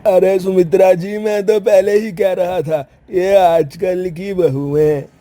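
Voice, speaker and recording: A fussy, disapproving family elder in a storybook drama, acted by a man. His voice is high for a man and drawn out at a slow pace. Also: fairly even intonation, mellow.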